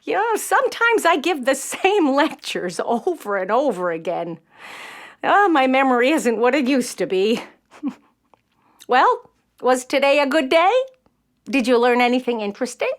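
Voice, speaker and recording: A very animated, folksy elderly grandmother. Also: high voice, measured pace. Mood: affectionate. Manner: expressive, folksy, emphatic. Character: warm, unschooled, doting elderly grandmother full of wonder. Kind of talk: children's audio play acting.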